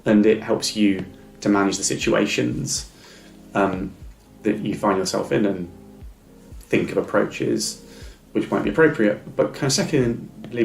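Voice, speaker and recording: A middle-aged business advisor who stammers, giving a measured, thoughtful interview answer with small blocks and repeats. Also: male voice, on the low side, creaky, roomy sound, low-quality recording.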